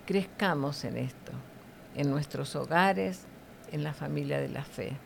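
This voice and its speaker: Middle aged woman w deep gravelly voice, teaching in a devotional chat, bit of noise behind. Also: a little slow. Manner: didactic, with short tag questions seeking agreement.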